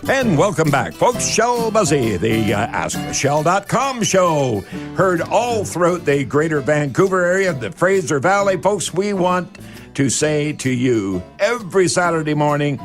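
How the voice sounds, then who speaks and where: A man hosting a radio advice show on home repair, speaking in a halting, folksy way and repeating words for emphasis. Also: a little high, big pitch swings, music in the background.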